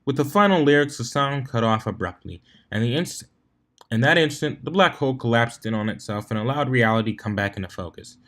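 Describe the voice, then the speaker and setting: A man's voice: medium pitch, expressive, brisk, a bit bright. A man reading fan fiction aloud as a scripted story narrator, sometimes tripping over a word and rereading it. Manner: stumbles over words and rereads them.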